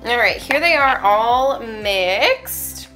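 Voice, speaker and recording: A woman's voice: fairly high pitch, lively intonation, extremely slow, sharp tone, soft background music. A young adult woman casually reviewing keto snacks at her dining table, chatty and explaining step by step. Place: dining room at home, indoors.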